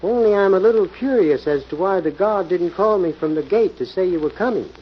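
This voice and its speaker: Guarded, courteous mill manager. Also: male voice, high voice, expressive, brisk, slightly rough. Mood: wary. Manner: hesitant, courteous, wary. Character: polite, guarded male steel mill general manager. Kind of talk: 1940s radio acting. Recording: muffled old radio recording, faint hiss.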